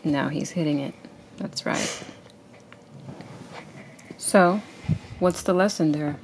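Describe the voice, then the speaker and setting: A woman reading a picture book aloud to a child at home and asking instructive questions in a deliberate way. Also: low voice, noisy background. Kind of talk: expressive read-aloud and gentle questioning.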